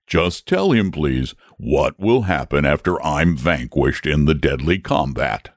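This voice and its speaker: A man's voice: very deep voice, sing-song, unhurried, no echo, studio quality, exaggerated voice. A man voicing a big, genteel, old-fashioned storybook dragon with a drawling, testy, fussily polite manner. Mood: prompting, composed. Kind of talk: storybook character voice.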